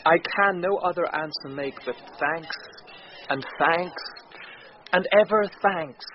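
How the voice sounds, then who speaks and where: An actor playing a courteous, eager young gentleman, speaking measured verse in classic radio Shakespeare style. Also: fairly high pitch. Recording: dull, noisy old radio broadcast.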